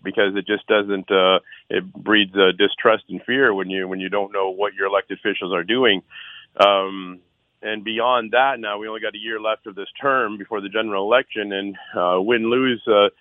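Low-voiced man on a tinny phone line. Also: no room sound. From Six Nations, Ontario, Canada. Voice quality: loud voice. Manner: frequent uh fillers and restarts, earnest. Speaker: council candidate guest. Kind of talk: phone-in radio interview.

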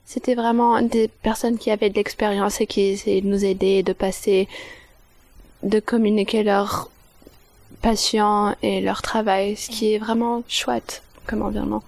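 A teenage girl, a high school intern, answering interview questions earnestly, speeding up in quick bursts. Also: little pitch movement, gravelly, a little mellow. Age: teens.

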